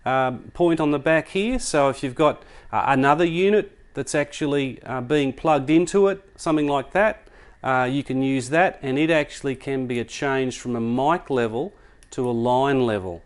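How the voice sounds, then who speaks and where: A man's voice: mid-range voice, moderate pace, clear, resonant tone. A man giving a casual product demonstration, explaining things step by step in a conversational way. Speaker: product reviewer.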